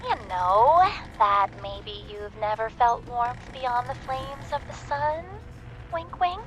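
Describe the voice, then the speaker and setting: High, swooping, cheeky female companion. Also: expressive, takes it slowly, dull audio, soft background music. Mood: teasing. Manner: playful, mocking, sly. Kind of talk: video game character banter.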